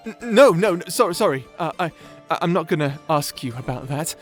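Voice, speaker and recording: A man's voice: fairly high pitch, lively intonation, brisk, faint music behind, close to an everyday voice. A man playing a shy, nerdy young wizard in a fantasy audio roleplay, stammering, bashful and apologetic. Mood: flustered, apologetic. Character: shy nerdy young male wizard, flustered, eagerly polite.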